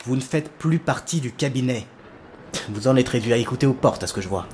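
Man acting desperate sci-fi leader, weary, exasperated sighs, mid-pitched, some background noise. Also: lively intonation, a little fast, darkish tone, lightly acted. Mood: grudging, stern. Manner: exasperated, sighing, swearing. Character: weary, desperate male leader of a doomed planet. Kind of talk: French sci-fi audio drama acting.

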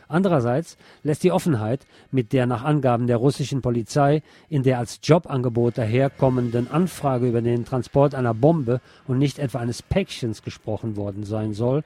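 A man reading scripted radio news in a measured, formal manner. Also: mid-range voice, mellow, dry recording.

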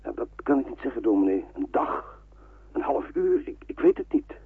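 A man's voice: mid-range voice, very animated, moderate pace, slightly rough, dry recording, heavily acted. An actor playing an urgent, respectful resistance man in a wartime radio drama, speaking plainly. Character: urgent resistance farm man warning his pastor. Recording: dry, tinny telephone-like old radio recording.

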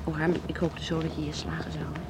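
A woman's voice: deep voice, little pitch movement, quick pace, very noisy. An actress playing a delighted, fond and teasing woman visiting a big city, in a naturalistic radio drama. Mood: tender, dreamy. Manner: gushing, affectionate, teasing.

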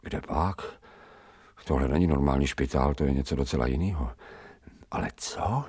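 An actor voicing a streetwise stray dog musing to himself in slangy, wry, awestruck radio drama monologue, with a gravelly voice. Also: male voice, very deep voice, darkish tone. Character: streetwise stray male dog narrating his thoughts, wry, awed.

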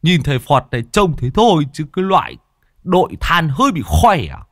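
A man's voice: on the high side, huge pitch swings, a little fast, fairly bright tone, no echo, clean studio sound, voice pushed up, heavily acted. A man voicing a teasing, mouthy village sidekick who mocks his friend. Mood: mocking. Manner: mocking, cheeky.

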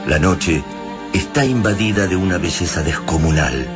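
An Argentine man narrates a radio drama in a low voice. His delivery is measured and literary, with clear music running behind him. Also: expressive.

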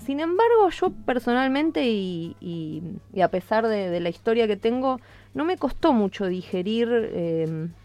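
An Argentine woman giving a conversational radio interview as a musician, explaining things in a reflective, halting way. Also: fairly high pitch, very animated, a little mellow, clean tone, soft background music. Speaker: interviewed hip-hop musician.

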